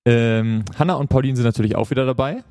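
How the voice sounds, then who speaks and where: A man's voice: mid-range voice, fast, mellow, no room sound. A man hosting a podcast in a casual, chatty style. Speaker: podcast host.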